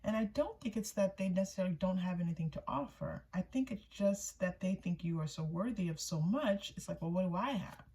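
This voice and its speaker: A woman's voice: lowish voice, lively intonation, brisk, hardly any pauses, warm tone. A woman giving a casual, intuitive tarot reading for viewers, often repeating phrases for emphasis. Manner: voices another person's thoughts in first person. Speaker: tarot reader, channel host.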